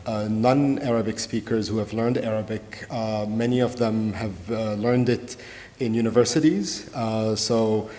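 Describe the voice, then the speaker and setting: A man's voice: on the low side, fairly even intonation, measured pace, warm tone, in a large hall. A man leading a conference Q&A discussion as organizer, hesitant with many fillers and restarts. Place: conference room.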